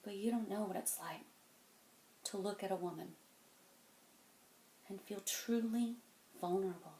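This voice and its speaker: A woman performing a dramatic monologue as a weary, wounded, stern mentor, speaking deliberately and intensely, moving between hushed and forceful. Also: fairly low pitch, a little hiss or hum, natural voice. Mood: hushed, grave. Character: weary, wounded, stern mentor confronting a cocky young genius. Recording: echoey room.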